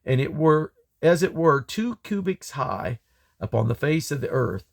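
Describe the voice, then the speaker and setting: A man reading scripture aloud in a solemn, deliberate way, breaking the text into measured phrases. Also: on the high side, animated, creaky. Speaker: Bible reader. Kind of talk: scripture reading, King James English.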